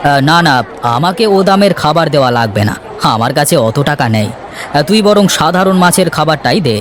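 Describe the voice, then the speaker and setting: Guy acting as a thrifty village husband in a cartoon, bit high voiced, practical and preachy. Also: lively intonation, fairly quick, a faint crowd, a little hiss or hum, natural voice. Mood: reluctant. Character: cautious, thrifty village elder brother, practical and opinionated.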